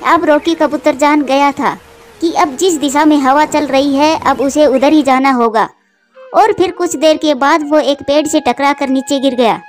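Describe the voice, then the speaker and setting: A woman with a high voice narrates a scripted cartoon story for children. She works as the storyteller between the characters' lines. Music runs behind her. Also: normal speed.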